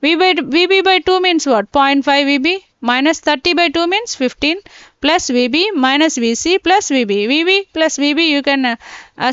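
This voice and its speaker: A woman teaching a live online class, working through each step and often pausing to check that students are following. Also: high-pitched, fairly bright tone, dry recording. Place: indoors, teaching from a computer. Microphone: laptop mic. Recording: dull lo-fi online class audio.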